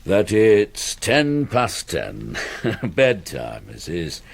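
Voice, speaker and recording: Slow, gravelly, laconic farmer husband. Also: male voice, low-pitched, huge pitch swings, exaggerated voice. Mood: absorbed, dry. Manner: slow, sparse, hesitant. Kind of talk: period radio drama acting.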